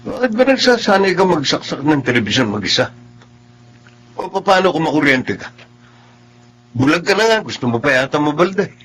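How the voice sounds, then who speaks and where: An actor playing a cold, impatient young husband in a radio drama, curt and weary. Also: male voice, on the high side, sing-song, brisk, long pauses, fairly bright tone, slight background noise. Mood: annoyed.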